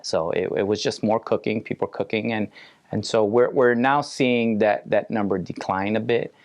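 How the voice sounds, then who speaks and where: A man explaining things in an interview as an official, in a halting, measured way. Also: on the low side, steady tone, dry recording.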